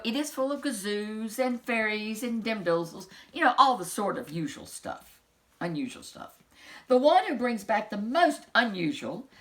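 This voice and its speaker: A woman's voice: mid-range voice, a bit bright. A woman voicing a storybook king who declaims a challenge, with casual asides. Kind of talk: children's storybook character reading.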